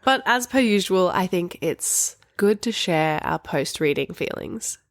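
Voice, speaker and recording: A woman's voice: on the low side, lively intonation, unhurried, no room sound, clean studio sound. A woman co-hosting a podcast, talking casually and candidly about books with wry emphasis. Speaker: podcast co-host. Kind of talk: casual book discussion chat.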